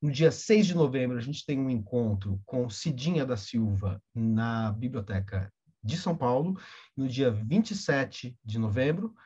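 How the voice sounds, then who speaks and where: Husky-voiced male interview host. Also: medium pitch, expressive, a little slow, warm tone. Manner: chatty, hesitant restarts. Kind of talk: casual interview chat. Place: library event. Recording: muffled lo-fi audio.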